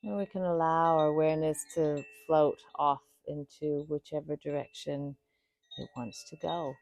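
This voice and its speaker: A woman guiding a meditation in a soothing, unhurried way, speaking in short phrases with pauses between them. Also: low-pitched, steady tone, gravelly, darkish tone, soft background music. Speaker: yoga nidra meditation guide. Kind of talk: guided meditation.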